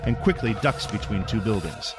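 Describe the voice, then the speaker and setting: A man's voice: lowish voice, expressive, moderate pace, loud music behind the voice. A man narrating a scripted audio drama with dramatic, suspenseful storytelling.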